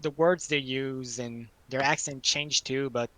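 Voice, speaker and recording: A man from Quebec, a native French speaker with a Quebec French accent, chatting casually and haltingly, repeating words as he searches for phrasing. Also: mid-range voice, steady tone, bright, forceful tone, no echo. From French-speaking Quebec, Canada. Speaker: study group participant. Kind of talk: casual group chat.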